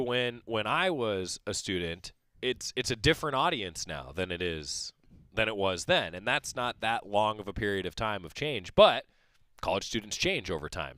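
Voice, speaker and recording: A man's voice: on the low side, no room sound. A man of thirty hosting a radio sports show, chatting casually with a student guest and asking follow-up questions. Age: 30s. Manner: lively, chatty, friendly interviewer with frequent affirmations. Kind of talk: casual interview chat. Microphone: studio mic.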